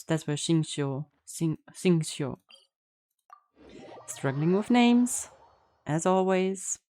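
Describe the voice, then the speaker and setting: A woman's voice: lowish voice, warm tone. A woman streamer giving casual gameplay reactions, informal and joking as she thinks aloud. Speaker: game streamer.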